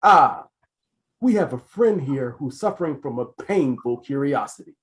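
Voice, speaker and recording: A man's voice: medium pitch, expressive, moderate pace, close to an everyday voice. A man playing a menacing, impatient gang boss in an old-time radio crime drama, bossy and sarcastic with tough gangster slang. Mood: bossy, exasperated.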